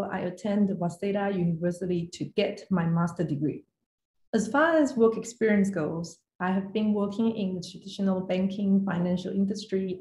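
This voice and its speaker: A woman's voice: medium pitch, dark tone. Echoey woman presenting. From Taiwan. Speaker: finance executive, guest speaker. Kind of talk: prepared online presentation. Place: indoor room on video call. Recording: roomy, echoey online call audio.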